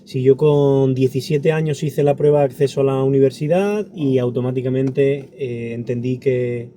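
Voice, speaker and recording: A man with a peninsular Spanish accent and a very mellow, dark voice of medium pitch. He answers interview questions earnestly and reflectively, in a conversational way. A faint ambient noise sits behind him. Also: fairly even intonation, moderate pace. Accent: Spanish from Spain. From Andalusia, Spain. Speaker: interview guest, pastor.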